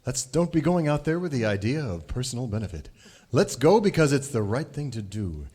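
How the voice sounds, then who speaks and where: A man's voice: medium pitch, big pitch swings, a little fast, darkish tone, husky. A man playing an earnest, upright cowboy leader with wholesome hammy delivery in a radio serial parody. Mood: noble. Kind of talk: old-time radio serial parody acting.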